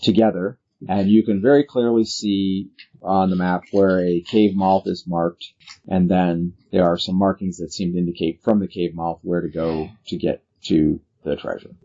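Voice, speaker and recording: Low, gravelly man running a game. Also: fairly even intonation, normal speed, dark tone, highs cut off. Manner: explanatory, instructive. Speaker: game master. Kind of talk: narrating a tabletop role-playing game.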